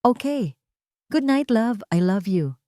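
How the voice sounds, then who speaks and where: Audio drama girlfriend, played by a woman narrator, sweet and coaxing with a possessive edge. Her pitch moves in lively swoops, and the recording is dry and close. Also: slow, no room sound.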